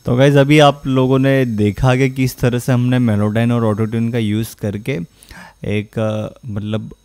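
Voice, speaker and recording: A young man hosts a casual music production tutorial in a mellow, smooth voice at a middle pitch. He explains step by step with frequent filler phrases, close on a studio mic. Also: flat delivery, a little slow. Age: young adult. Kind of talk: casual software tutorial narration. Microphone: close studio condenser microphone.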